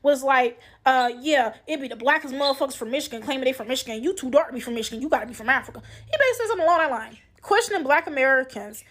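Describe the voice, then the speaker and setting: A woman with a high voice rants fast and brashly. She swears freely in a casual, street-talk way. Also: a bit bright. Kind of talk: casual profane rant.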